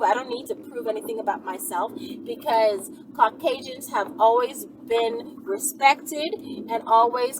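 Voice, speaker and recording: A woman talking casually and off the cuff to her viewers, emphatic and often checking that they agree. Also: high voice. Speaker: video channel host answering viewer questions. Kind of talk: casual unscripted talk to viewers. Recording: distant mic, clear background noise.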